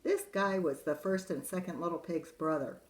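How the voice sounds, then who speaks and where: A woman's voice: lowish voice, moderate pace, close to an everyday voice. A woman reading as a sly wolf. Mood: protesting innocence. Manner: chatty, confiding storyteller. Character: sly smooth-talking male wolf, self-pitying. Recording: roomy echo.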